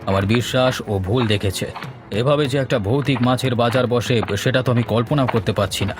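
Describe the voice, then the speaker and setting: A man's voice: mid-range voice, a little fast, music in the background, lightly acted. A man voicing a stubborn old village headman in a horror cartoon. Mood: sceptical, stubborn.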